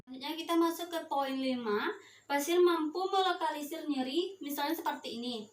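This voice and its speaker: A young woman with a high voice explains a procedure step by step. She sounds hesitant, pausing and restarting as she goes. The sound is echoey and far from the mic, with faint noise behind it. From Indonesia. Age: young adult. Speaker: nursing student giving a practical demonstration. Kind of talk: instructional demonstration, step by step.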